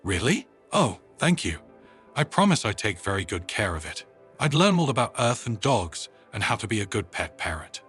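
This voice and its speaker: A man's voice: fairly low pitch, sing-song, normal speed, a bit bright, husky, faint music behind, full character voice. A man voicing a small, timid, eager young alien who speaks earnestly and politely in a story. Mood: eager, grateful.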